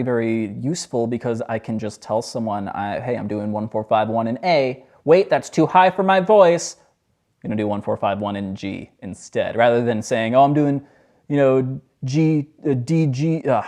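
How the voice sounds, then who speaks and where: A man with a low voice giving a casual music theory lesson in a loose, joking, self-deprecating way. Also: a little mellow. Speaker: music teacher.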